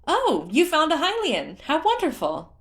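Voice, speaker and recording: Woman acting a bubbly, high-voiced fish-folk girl. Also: wildly swooping pitch, unhurried, heavily acted. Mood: excited. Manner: squealing, gushing, cheerful. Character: bubbly, excitable female fish-folk guard.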